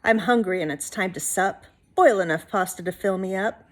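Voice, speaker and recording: A woman's voice: mid-range voice, moderate pace, natural voice. A woman reading a storybook as an eager, simple-minded young man who speaks emphatically. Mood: excited.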